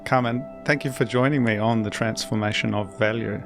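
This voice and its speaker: A man hosting an interview podcast, asking questions in a measured, conversational way. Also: medium pitch, steady tone, over music. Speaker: podcast host.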